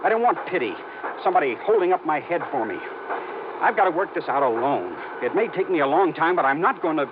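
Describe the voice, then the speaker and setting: A man's voice: fairly high pitch, very animated, quick pace. An actor playing a proud, resentful young man in a 1950s radio drama, on a tinny, noisy old broadcast recording. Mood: bitter.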